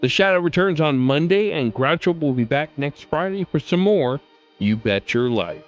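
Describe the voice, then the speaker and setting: American man, smooth resonant voice, very lively intonation, scripted podcast host read, slightly dull sound. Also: fairly high pitch, moderate pace. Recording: slightly dull sound, faint music bed.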